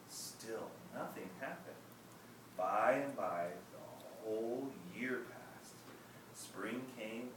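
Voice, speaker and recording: A man reads a children's story aloud at a gentle, measured pace, with a medium-pitched voice. He is recorded from a distance in a strongly echoing room, with clear background noise throughout. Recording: echoey room, distant mic, noisy.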